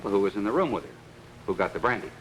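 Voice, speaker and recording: A man's voice: lowish voice, lively intonation, rapid-fire, a bit bright. An actor playing a persistent amateur detective in an early 1950s TV mystery, hesitant at first, then pointed in his questioning. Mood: probing. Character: persistent, probing amateur detective, son of a policeman. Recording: noisy, clicking 1950s TV soundtrack.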